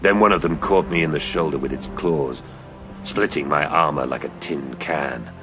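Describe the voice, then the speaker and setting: A man voicing a very deep, brooding battle-worn sergeant. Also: music in the background. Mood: brooding, solemn. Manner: slow, brooding, solemn. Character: grim battle-hardened sergeant, haunted male space soldier. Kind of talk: audio drama character acting.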